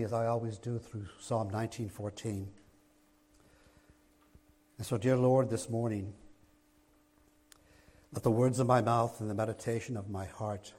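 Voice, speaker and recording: A man with a raspy voice preaching a sermon as pastor in a church, going from a soft low opening to loud emphatic delivery. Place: church sanctuary, streamed online.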